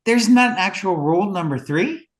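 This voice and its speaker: A woman's voice: mid-range voice, lively intonation, moderate pace, natural voice. A woman playing a cheeky, blunt and curious visitor in an audio play. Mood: questioning.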